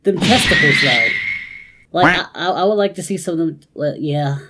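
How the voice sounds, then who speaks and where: A woman's voice: low-pitched, normal speed, heavy background noise. A woman hosting a reaction video in casual commentary, exclaiming and often repeating her phrases. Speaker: host of a reaction channel.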